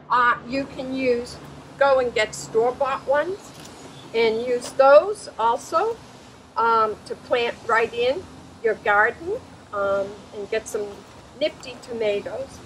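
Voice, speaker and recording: Older lady in her 60s walking little kids through planting, encouraging, takes it slow, bit of breezy outdoor noise. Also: high voice. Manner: encouraging, praising, instructing children. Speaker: children's storytime host. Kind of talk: hands-on gardening demonstration for young children. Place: outdoors at a table in the wind.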